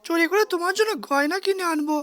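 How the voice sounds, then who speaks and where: A woman's voice: extremely high pitch, fast talker, a bit bright, slight background noise. A woman voicing a sly, eager male cartoon fox who exclaims and repeats himself. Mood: scheming.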